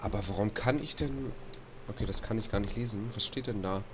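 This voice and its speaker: A young man with a stuffy, allergy-blocked nose talks over gameplay, his voice sitting low. He reads game text aloud and grumbles when things break, with a faint hum behind him. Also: a little fast. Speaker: let's play host. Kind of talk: casual gameplay commentary.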